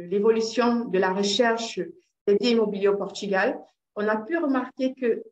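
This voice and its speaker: A woman's voice: mid-range voice, darkish tone. A woman from Cameroon, a real estate agent, giving an online presentation with many fillers and restarts. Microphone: video call. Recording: roomy, dull video-call audio.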